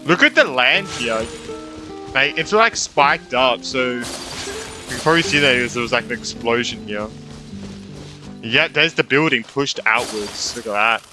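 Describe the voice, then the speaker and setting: A man's voice: medium pitch, expressive, a little slow. A young man streaming a video game, giving casual, reactive commentary with informal muttered asides. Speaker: gaming streamer. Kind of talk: casual live playthrough commentary. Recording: game music behind voice.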